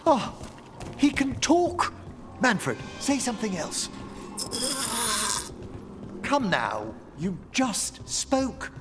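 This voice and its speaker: A man's voice: very high-pitched, wildly swooping pitch, very slow, pauses often, over music, full character voice. A man playing a scholarly older male necromancer in a video game, with refined, theatrical and precise diction. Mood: eager.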